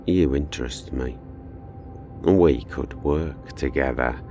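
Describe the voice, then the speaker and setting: A man playing an ancient evil spirit inside a boy in an audio horror drama, sly, menacing and taunting, with a dark, gravelly, deliberate delivery. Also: very deep voice, full character voice.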